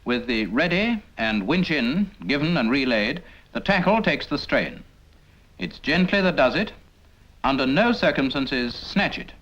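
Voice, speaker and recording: Lively 1950s British training-film narrator, male. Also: medium pitch, a little slow. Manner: didactic, addresses the trainee with rhetorical questions. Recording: dull 1950s film soundtrack.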